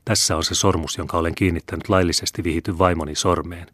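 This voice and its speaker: A man's voice: low voice, fairly even intonation, fairly bright tone, no room sound, studio quality. A man voicing a proud young officer who speaks to his father earnestly and firmly. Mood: indignant.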